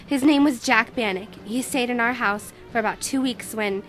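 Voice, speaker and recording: Woman playing a high-voiced, troubled noir client. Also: normal speed, a bit bright, faint music behind, natural voice. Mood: wistful. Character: troubled, wistful young female magician's assistant seeking help. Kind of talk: noir radio drama dialogue.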